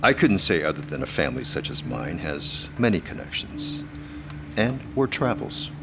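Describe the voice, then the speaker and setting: Very deep, shrewd tycoon. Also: male voice, big pitch swings, normal speed, over loud music, exaggerated voice. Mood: suave, guarded. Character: smooth-talking, shrewd, wealthy rival businessman. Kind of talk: period audio drama acting.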